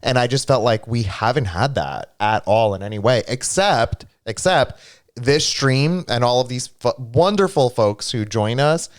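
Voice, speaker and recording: A man's voice: medium pitch, expressive, no echo, clean studio sound. A man whose first language is Greek, co-hosting a casual live stream and chatting with viewers. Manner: chatty, quick asides to chat. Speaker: co-host.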